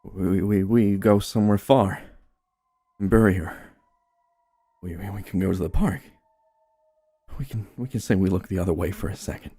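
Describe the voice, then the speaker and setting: A man's voice: low voice, quick pace, dark tone, husky, exaggerated voice. A man voicing a desperate, cold wife with an urgent, insistent manner in a horror story narration. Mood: panicked, pleading. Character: cold, desperate wife covering up her baby's death.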